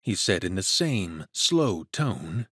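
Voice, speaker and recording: A man with a husky voice narrating a scripted dramatic story. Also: sits low, sing-song, slow pace, fairly bright tone, no echo, studio quality.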